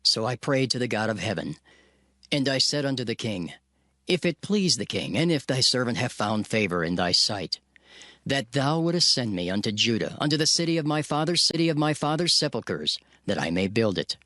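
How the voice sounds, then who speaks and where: A man's voice: medium pitch, sing-song, moderate pace, bright, forceful tone, no echo, clean studio sound. A man narrating scripture in the first person, reading in an earnest, prayerful manner as part of a dramatized recording. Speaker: narrator voicing Nehemiah. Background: music underscore.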